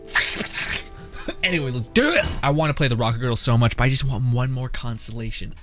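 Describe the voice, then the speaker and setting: A young man streams a game with casual running commentary. His mid-range voice rambles along in a jokey, slangy way. Game music is clearly present behind him. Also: medium pitch, expressive, quick pace, a bit bright. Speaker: gaming streamer.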